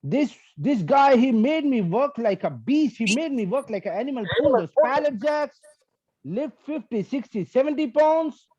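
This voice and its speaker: A man's voice: very high voice, lively intonation, normal speed, darkish tone, husky, dry recording, highs cut off. A man from India with an Indian accent whose first language is Hindi and Punjabi, doing a profane, brash stand-up set. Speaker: stand-up comedian.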